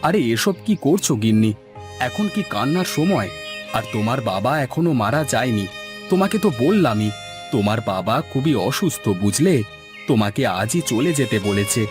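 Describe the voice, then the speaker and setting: Medium pitch, man as cartoon village father, farmer, urgent, caring, insistent, clear music behind. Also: big pitch swings, normal speed, natural voice. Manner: insistent, explanatory, homely. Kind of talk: Bengali moral-story cartoon acting.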